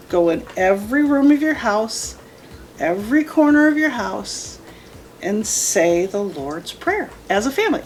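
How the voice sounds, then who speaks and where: A middle-aged woman casually telling a personal story, animated and emphatic, often repeating her phrases. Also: a little high, slight background noise. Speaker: storytelling guest, mother of the host.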